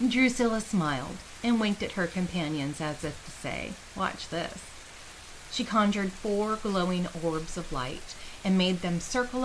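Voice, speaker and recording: A woman's voice: on the low side, slight background noise, rough recording. A woman reading a scripted fantasy story as its narrator, voicing dialogue along the way. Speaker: narrator of a fantasy fiction podcast. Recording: music behind the voice. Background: instrumental music.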